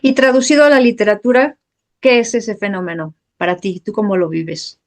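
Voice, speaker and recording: A woman interviewing a guest, putting short prompting questions and giving soft agreement. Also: medium pitch, steady tone, moderate pace. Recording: off-mic, distant.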